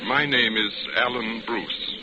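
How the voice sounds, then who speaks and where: An actor as a suave bachelor, very noisy old radio. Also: male voice, mid-range voice, steady tone, muffled recording, close to an everyday voice. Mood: teasing, flirtatious. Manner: suave, teasing, measured. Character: smooth, flirtatious, philosophizing bachelor ship passenger. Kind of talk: 1940s radio acting, romantic dialogue.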